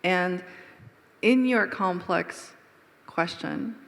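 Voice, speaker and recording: A woman's voice: fairly low pitch, very slow, creaky, a bit bright. A woman author speaking to readers at a book talk, emphatic and full of rhetorical questions. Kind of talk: public talk answering readers. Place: lecture hall with reverb. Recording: reverberant hall sound.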